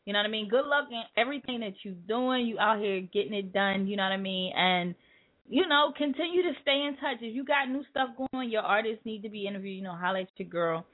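A woman's voice: medium pitch, animated, quick pace. Laughing woman radio host over a phone line. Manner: laughs often, slangy and playful. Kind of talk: casual interview chat.

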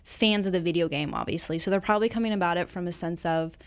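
A woman's voice: lowish voice, fast. A woman guest co-host discussing films casually, in a conversational and opinionated way.

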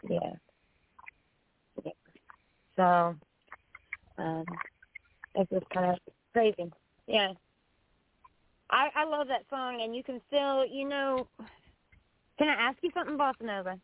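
A woman's voice: a little high, expressive, takes it slowly, long pauses. A woman hosting a podcast, asking rambling, chatty questions full of restarts. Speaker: podcast host. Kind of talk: casual interview chat. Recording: tinny phone-line sound.

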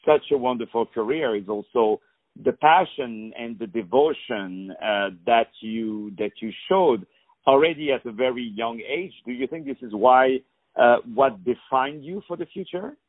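A man's voice: mid-range voice, unhurried, no echo, phone-quality sound. A man hosting a radio interview, effusive and repetitive, stumbling over words in his long questions. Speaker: radio host.

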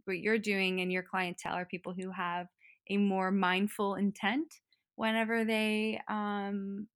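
A woman's voice: mid-range voice, flat delivery, a little slow, fairly bright tone, no echo. Rambling woman podcast host, dry sound. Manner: long rambling questions with "um" fillers. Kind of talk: casual interview hosting.

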